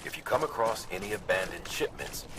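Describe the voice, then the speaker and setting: An actor playing a gruff, commanding director giving measured, earnest mission briefings in a video game. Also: male voice, mid-range voice, very animated, in a large hall, far from the mic, very noisy. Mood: solemn encouragement.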